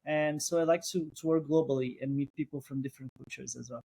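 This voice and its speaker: A man's voice: mid-range voice, steady tone, fast, gravelly, dark tone, husky. Brazilian-accented man, thoughtful guest. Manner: thoughtful, restarts phrases, non-native phrasing. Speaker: guest, co-founder. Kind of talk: casual interview.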